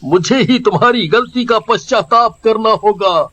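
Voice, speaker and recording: A man's voice: sits high, a little slow, sharp tone. A man playing an agitated, righteous village man with emphatic delivery in a melodramatic story, recorded with dull lo-fi sound. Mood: anguished. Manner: emphatic, dramatic. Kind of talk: melodramatic animated story dialogue.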